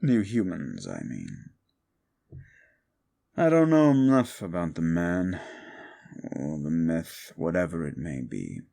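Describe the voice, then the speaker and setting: A man voicing a brooding, bitter fallen demon who lectures the listener in a slow, condescending way in an audio roleplay drama. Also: low voice, huge pitch swings, creaky, dark tone, heavily acted.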